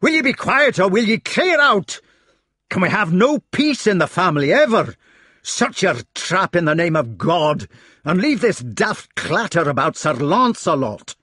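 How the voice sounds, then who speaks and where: A man's voice: mid-range voice, animated, normal speed, a bit bright, slightly rough, no echo, clean studio sound. A man voicing a hot-tempered, towering Scottish clan head, a blustering and commanding knight in a storybook reading. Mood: angry, threatening.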